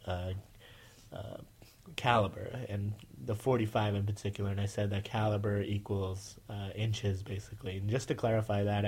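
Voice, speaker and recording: A man's voice: fairly low pitch, a little mellow. A man hosting a casual podcast, with a nasal voice, rambling with many fillers and restarts. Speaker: podcast host.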